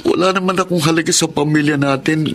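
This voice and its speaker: A man's voice: fairly high pitch, fairly even intonation, fast, a little hiss or hum, close to an everyday voice. A man with a Filipino accent playing a sick, self-pitying older brother in a radio drama, plaintive and downcast. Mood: weak, self-pitying.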